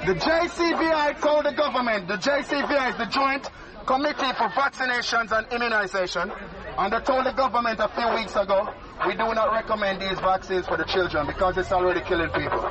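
Loud Jamaican man chanting through a bullhorn. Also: sits high, brisk, highs cut off, crowd noise. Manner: loud, sing-song, chanting. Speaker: street campaigner. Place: outdoors near a school.

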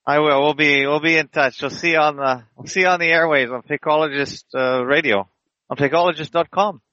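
A man hosting a casual internet radio show, rambling and opinionated as he talks. His voice is bright and forceful. It sounds close and dry, and the sound is a little dull. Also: fairly high pitch, fairly even intonation, a little fast, no room sound. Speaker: podcast host. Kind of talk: casual online radio chat.

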